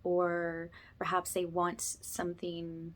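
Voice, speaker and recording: A woman speaking softly and gently as she leads an informal spiritual ASMR ritual, often slipping toward a whisper. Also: fairly low pitch, completely flat delivery, takes it slowly. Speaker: host, spiritual energy healer leading a session.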